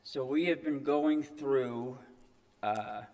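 Unhurried male pastor in a church. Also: medium pitch, darkish tone. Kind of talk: sermon. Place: large church sanctuary. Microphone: pulpit mic, far camera. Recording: reverberant church hall.

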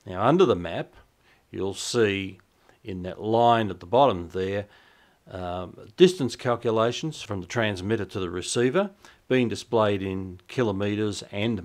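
A man in his fifties with a fairly low voice explains things step by step. He speaks in a measured, instructional way, pronouncing terms carefully like a review presenter. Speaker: presenter of a shortwave radio review. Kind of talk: explanatory tutorial to camera and over screen demo. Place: small indoor home radio room.

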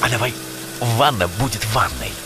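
A man's voice: lowish voice, big pitch swings, moderate pace. A man voicing a sensible, wryly stern brown bear in a cartoon, speaking in an admonishing way. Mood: scolding. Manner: wry, admonishing. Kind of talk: cartoon voice acting. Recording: music under the voice.

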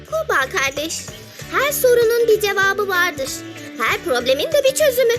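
Very high-pitched cheerful little ladybug. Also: big pitch swings, moderate pace. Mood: encouraging. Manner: encouraging, gently questioning, sings at times. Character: cheerful, caring little female ladybug in a children's tale. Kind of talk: children's cartoon storytelling. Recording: music behind the voice.

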